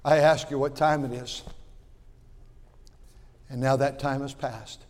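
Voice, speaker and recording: A man in his sixties preaching a sermon in a folksy way, repeating words and swinging from loud to quiet. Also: mid-range voice, fairly even intonation, slow pace, big-room sound. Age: 60s. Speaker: pastor.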